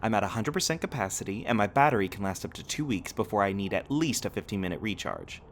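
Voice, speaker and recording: A man's voice: on the low side, lively intonation, quick pace, a little hiss or hum. A man playing a cheerful, literal-minded robot companion in a scripted sci-fi roleplay, speaking in formal, precise, over-explaining sentences. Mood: cheerful, helpful.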